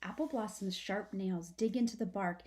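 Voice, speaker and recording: A woman's voice: medium pitch, normal speed, a little mellow. A woman, a teacher, narrates a children's story aloud for her students.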